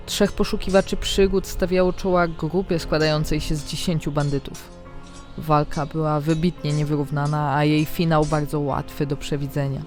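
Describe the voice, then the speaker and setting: A woman narrating a scripted fantasy diary in the first person, with dramatic, expressive storytelling. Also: deep voice. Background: background music.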